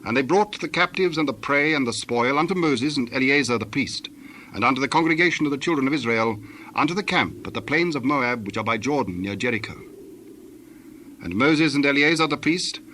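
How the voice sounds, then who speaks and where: Solemn male scripture narrator, medium pitch. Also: lively intonation, fairly quick, pushed and bright, slight background noise.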